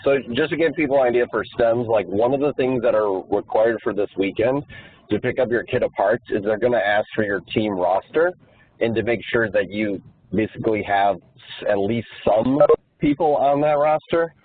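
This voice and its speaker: A man's voice: on the low side, normal speed, a little hiss or hum. A man co-hosting a conference call, giving an informal, plain-spoken explanation over the telephone. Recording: muffled, narrow phone conference line.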